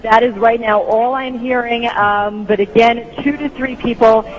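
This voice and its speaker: A woman news correspondent giving a live breaking news report in a careful, halting delivery. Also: on the high side, fairly even intonation. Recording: dull lo-fi broadcast, faint noise.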